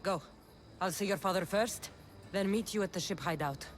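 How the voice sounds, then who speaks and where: A woman's voice: medium pitch, moderate pace, music in the background, close to an everyday voice. A woman playing a bold, wry mercenary warrior of ancient Greece in a video game cutscene, speaking with confident command. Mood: determined.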